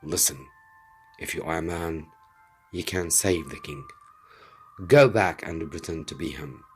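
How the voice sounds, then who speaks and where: A man voicing a determined soldier in a storybook reading, forceful, urgent and persuasive. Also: rumbling low, a little slow, slightly rough, soft background music, pitched below the performer's own voice, exaggerated voice. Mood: grave, insistent. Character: commanding, determined male soldier urging a plan.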